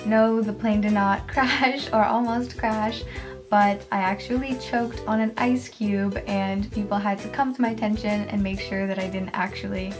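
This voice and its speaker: A woman of twenty with a naturally quiet, soft voice, chatting casually to the camera in her bedroom for a facts-about-me vlog, filling her talk with "like" and the odd laugh. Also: medium pitch, fairly even intonation, moderate pace. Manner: chatty, filler-heavy, laughs often. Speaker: beauty vlogger. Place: bedroom, indoors, small. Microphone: camera at close range, facing it. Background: background music.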